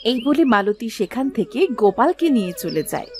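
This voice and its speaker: A woman giving scripted narration for an animated children's story. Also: mid-range voice, brisk, slight background noise.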